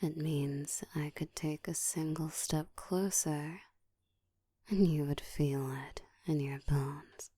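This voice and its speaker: A woman voicing a seductive, dominant ancient vampire in an intimate ASMR roleplay, teasing and commanding, often slipping into a soft whisper. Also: fairly even intonation, slow, no room sound, exaggerated voice. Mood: seductive, taunting. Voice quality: soft, breathy whispering mixed with low murmur.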